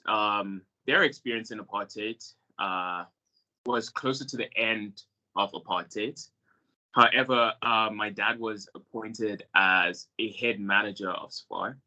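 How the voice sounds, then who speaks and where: A man's voice: on the low side, pushed and bright, rough recording. A young man and cast member, talking earnestly and reflectively about his family in a panel discussion, with many fillers. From South Africa.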